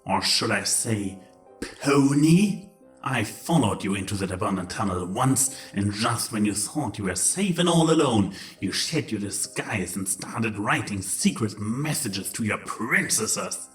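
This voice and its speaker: A man acts out a mocking, accusatory male changeling in an audio comedy. His delivery is drawn-out and theatrical, with lively swoops in pitch over background music. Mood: smug accusing. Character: smug, taunting male changeling, accusing brother. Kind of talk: theatrical audio-drama acting of a comedy story.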